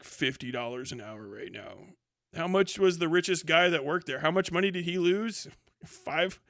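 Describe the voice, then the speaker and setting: A man with a forceful, bright voice pitched a bit high talks off the cuff on a livestream. He swears freely, leans on slang and sarcasm, and animatedly acts out what imagined people would say. The sound is dry and close. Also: no room sound. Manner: profane, slangy. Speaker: live streamer. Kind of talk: casual livestream commentary reacting to chat.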